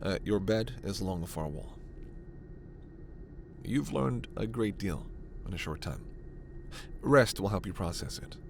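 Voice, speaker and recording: A low-pitched alien doctor, acted by a man, speaks with measured, formal authority and gentle, protective care. It is a sci-fi ASMR roleplay, with a steady ambient noise behind the voice. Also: gravelly, exaggerated voice. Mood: warm, guiding. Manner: measured, formal, reassuring, soft-spoken asides. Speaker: voice performer.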